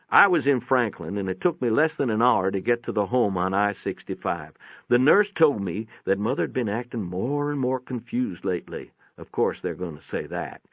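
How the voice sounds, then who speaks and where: A man's voice: on the low side, dry recording. A man giving a dramatic first-person reading of a short story in a folksy, matter-of-fact storytelling manner. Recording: muffled, narrow phone-like sound, dry.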